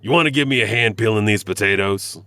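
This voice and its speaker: A man's voice: fairly low pitch, quick pace, pushed and bright, dry recording, studio quality. A man playing a folksy, grumbling, joking janitor who hosts a horror anthology in a theatrical monologue. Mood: jovial. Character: chatty grumbling janitor peeling potatoes, spooky story host.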